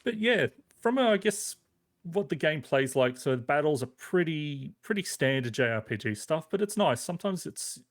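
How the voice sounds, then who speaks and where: A man's voice: on the high side, big pitch swings, moderate pace. A man giving a casual, conversational game review as a podcast reviewer, rambling a little with hesitant but enthusiastic phrasing.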